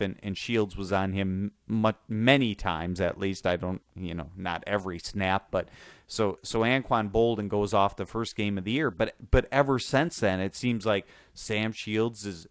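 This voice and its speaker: A man with a low, smooth voice that is sore and a little hoarse, talking football on a show he hosts. He talks in a halting way, full of restarts and fillers. The sound is dry and close. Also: no echo. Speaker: sports podcast host. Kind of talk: casual football talk.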